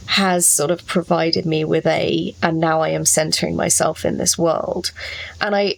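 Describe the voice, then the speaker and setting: A woman's voice: on the low side, creaky, rough recording. A woman talking casually as a fiction author on a podcast, reflective and explaining things through her own experience.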